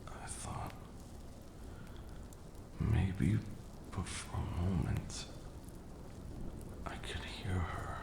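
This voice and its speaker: An ancient, brooding vampire lord, played by a man, murmurs in an extremely low, heavily gravelled voice. He is cruel and grieving, drawing out his words slowly and theatrically in an ASMR roleplay, with rainy ambience clearly behind him. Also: roomy sound, background noise, heavily acted. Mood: wistful, mournful. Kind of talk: slow dramatic ASMR roleplay monologue.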